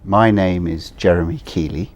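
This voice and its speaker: A middle-aged man, answering interview questions for a course recording in a measured, thoughtful way. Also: low voice, no room sound. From England. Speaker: interviewee, business owner.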